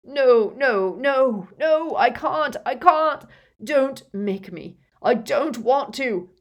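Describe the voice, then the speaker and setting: A woman's voice: sits low, animated, unhurried, darkish tone, muffled recording. A woman voicing an elderly male wizard mentor in an audiobook story, authoritative and insistent, with intense emotion. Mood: terrified pleading. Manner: emphatic, insistent, moaning and groaning under torment.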